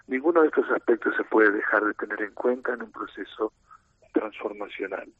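A middle-aged man with an Argentine accent, a team coach explaining his ideas in a measured, lecturing way in a radio interview. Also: lowish voice, breathy edge, dry recording. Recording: tinny phone line.

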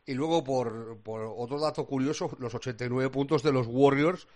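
A male basketball commentator with an accent from Spain and a mid-range voice. He talks casually and colloquially, halting often as he thinks aloud. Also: medium pitch, darkish tone. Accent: Spanish from Spain. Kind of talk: casual sports discussion.

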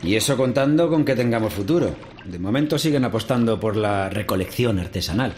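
A man playing a middle-aged, world-weary farm veteran in a radio drama. He has a low voice and a Castilian Spanish accent, over faint noise. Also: expressive, fairly quick, a little mellow, natural voice. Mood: resigned, cynical. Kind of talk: radio drama acting, conversational. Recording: faint background noise and ambience.

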